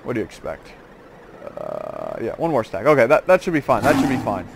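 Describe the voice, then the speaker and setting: A man's voice: mid-range voice, huge pitch swings, a little fast. Casual rambling man over noticeable background noise. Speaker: host of a gaming series. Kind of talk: casual rambling game commentary. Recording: clear background noise with clicks.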